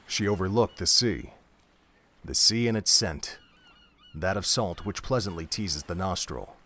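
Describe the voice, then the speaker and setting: A man's voice: sits low, fairly bright tone. A man reading scripted narration for an audio drama, telling the story in prose.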